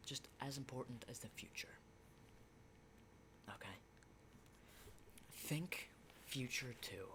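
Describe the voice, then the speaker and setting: A man playing a battle-hardened, rattled survivor of a zombie apocalypse in an ASMR roleplay, rambling and swearing in hushed, halting speech. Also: medium pitch, noisy background, lightly acted. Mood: earnest, warning.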